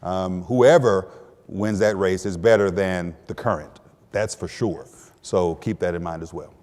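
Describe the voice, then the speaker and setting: Halting man in a reverberant hall. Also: deep voice, a little mellow. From Texas, US. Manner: halting, repeats words, many fillers. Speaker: panel guest, attorney general. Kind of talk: panel discussion.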